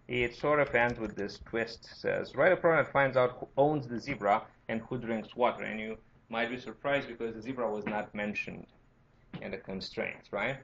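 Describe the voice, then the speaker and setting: Man, Romanian accent, mid-pitched, fry in the voice, informal tutorial teaching, frequent check-in tags. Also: fairly bright tone. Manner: explanatory, often checking with "right?" and "okay?". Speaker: university lecturer. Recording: dull, lo-fi lecture recording.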